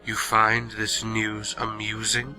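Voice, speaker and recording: A man's voice: on the low side, monotone, slow, bright, forceful tone, faint music behind, exaggerated voice, low-quality recording. A man playing a proud, stern ancient vampire lord in a fantasy audio drama, speaking in a grave, controlled way. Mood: cold, guarded.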